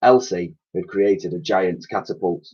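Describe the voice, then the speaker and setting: Male storybook reader, teacherly and expressive, husky mid-range voice, slightly muffled lo-fi sound. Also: sits low, unhurried. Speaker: primary school teacher reading aloud. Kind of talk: expressive children's storybook narration.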